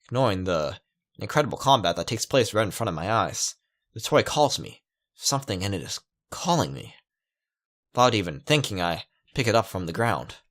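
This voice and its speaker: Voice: low-pitched, big pitch swings, moderate pace. A let's player reading a visual novel aloud dramatically with casual asides, stumbling over words and correcting themselves.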